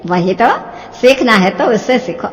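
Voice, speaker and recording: A woman voicing a sharp-tongued older mother-in-law who nags and taunts sarcastically in a moral-story cartoon. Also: medium pitch, unhurried, lightly acted. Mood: mocking. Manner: sarcastic, nagging. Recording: long hall-like reverb.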